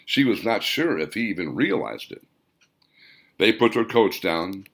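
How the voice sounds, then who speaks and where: A man's voice: on the low side, big pitch swings, a little slow, fairly bright tone. A man reading a scripted story aloud as the author and narrator of an audiobook.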